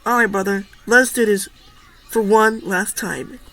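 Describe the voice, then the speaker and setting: A young woman in her late teens giving a casual, halting pep talk. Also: medium pitch, steady tone, a bit bright, poor audio. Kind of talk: informal spoken pep talk.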